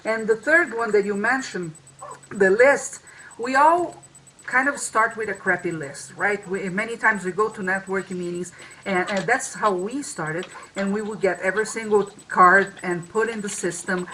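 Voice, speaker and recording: A woman's voice: medium pitch, moderate pace, sharp tone, slight background noise, rough recording. A woman co-hosting a marketing talk over a video call, with a strong voice and a loose, restarting way of speaking. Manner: many restarts and fillers. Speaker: co-host. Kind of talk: casual webinar talk.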